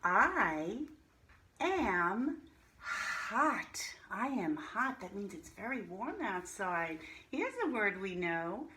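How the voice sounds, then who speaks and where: A woman teaching a reading lesson to young children, pronouncing single words deliberately and speaking to the children directly. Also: medium pitch, very animated, pushed and bright. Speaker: primary school reading teacher. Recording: roomy echo, faint background noise.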